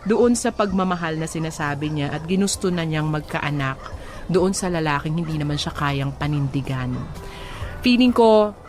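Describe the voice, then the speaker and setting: Filipina radio co-host, casual and teasing. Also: female voice, sits low, animated, moderate pace, music in the background. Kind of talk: casual radio advice banter, reading listener comments.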